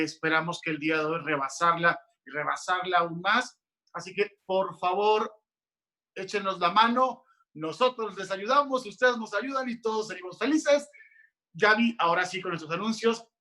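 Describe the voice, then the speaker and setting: A man's voice: high-pitched, sharp tone. A man hosting a live online event over a video call, upbeat and appealing directly to his audience. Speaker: event host.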